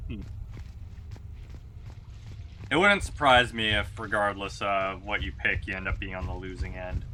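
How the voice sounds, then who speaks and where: A man's voice: low voice, fairly quick, bright, forceful tone, slight background noise. A man streaming a video game and making dry, wry comments as he plays. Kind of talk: casual gameplay commentary.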